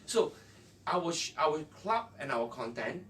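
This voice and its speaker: Distant-sounding Malaysian man, halting English. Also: on the high side, big pitch swings, fairly bright tone. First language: Chinese (Cantonese and Mandarin). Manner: halting, non-native English phrasing. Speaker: interview guest, entrepreneur and former radio announcer. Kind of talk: conversational interview answers. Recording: distant mic with faint background noise.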